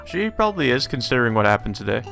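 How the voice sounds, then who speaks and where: A man's voice: medium pitch, sing-song, very fast, creaky. A man hosting a let's play, reading visual novel dialogue aloud in an informal way and adding asides. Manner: informal, slips into character voices. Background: video game music.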